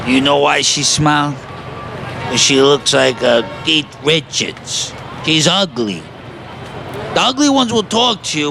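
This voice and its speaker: An actor as a jaded New working man with a gravelly, animated voice that swings around as he needles and cracks wise. It is audio drama set on a noisy street with traffic. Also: on the high side, exaggerated voice. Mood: sardonic. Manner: sardonic, needling. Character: blunt, wisecracking, jaded male construction worker. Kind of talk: naturalistic audio play dialogue. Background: urban street ambience, vehicles.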